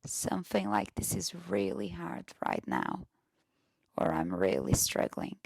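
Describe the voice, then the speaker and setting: Female meditation guide: lowish, very gravelly fry, gentle and hushed delivery, recorded close and dry. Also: wildly swooping pitch, a little slow, dry recording.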